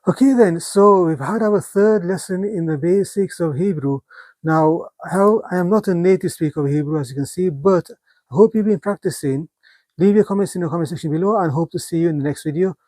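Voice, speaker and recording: Mellow voice, dry close sound, patient teacher, sounds out letters one at a time, unscripted. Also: medium pitch, a little fast, no room sound. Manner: unscripted with fillers and restarts. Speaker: language teacher. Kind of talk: beginner lesson on script and vowel marks.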